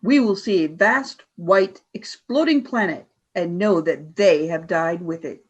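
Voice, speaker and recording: A woman playing a cold, cruel alien commander in a radio play, speaking in a deliberate, scornful, commanding way. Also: fairly low pitch, husky, muffled recording. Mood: cold, brooding. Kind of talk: audio drama radio play acting.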